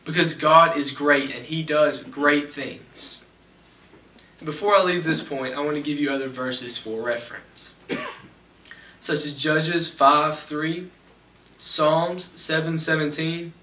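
A man's voice: a little high, sharp tone, recorded from a distance. Young man preaching in an echoey church. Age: young adult. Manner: measured, slows for scripture reading. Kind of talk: sermon. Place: church sanctuary at a pulpit. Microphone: pulpit mic, far camera.

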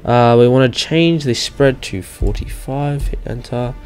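A young man giving an informal, slightly hesitant step-by-step software walkthrough as a tutorial host. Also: medium pitch, a little slow. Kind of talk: casual software screen-recording walkthrough.

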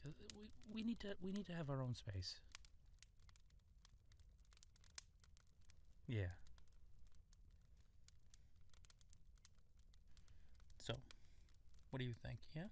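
A man acting as a gentle, affectionate young husband and new father in an intimate, one-sided audio roleplay, soft-spoken and tender. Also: fairly high pitch, lively intonation, moderate pace, slight background noise. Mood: firm, reassuring. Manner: tender, soft-spoken, playful baby talk at times.

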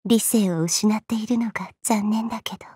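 A woman voicing a petite, haughty, teasing goddess for a game, with elegant, coy, ladylike speech and a soft, breathy tone. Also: mid-range voice, fairly even intonation, moderate pace, no room sound, clean studio sound. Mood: wistful. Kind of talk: Japanese mobile game character voice lines.

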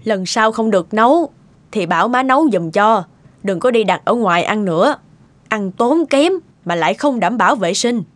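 A woman playing a stern, sharp-tongued older mother-in-law in a cartoon, blunt and scolding. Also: mid-range voice, lively intonation, moderate pace, no echo, close to an everyday voice. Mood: disapproving. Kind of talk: cartoon dialogue.

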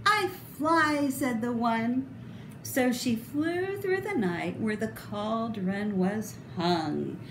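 Animated middle-aged woman reading to children. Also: on the high side, takes it slowly. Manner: theatrical, emphatic, sing-song delivery. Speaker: storybook reader. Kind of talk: expressive children's read-aloud. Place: indoor room. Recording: roomy echo, clear background noise.

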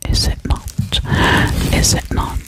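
A woman doing ASMR in a breathy whisper very close to the microphone, gently repeating words and phrases. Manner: gentle, slow, repetitive. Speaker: ASMR performer. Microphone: Blue Yeti without cap, very close.

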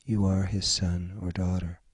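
Guy doing guided meditation, soft raspy voice, really dry close recording, soothing short phrases. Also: deep voice, level tone, unhurried, no room sound. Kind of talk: slow guided meditation narration.

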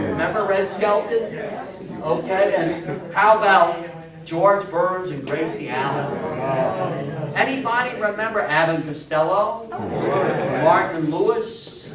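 A man in his sixties giving an animated, informal talk to an audience, exclaiming and asking them questions with enthusiasm. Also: sits high, slow pace, pauses often, over a noisy crowd. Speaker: lecturer. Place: indoor meeting room before a seated audience. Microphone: distant camera mic. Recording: echoey room, distant mic, audience noise.

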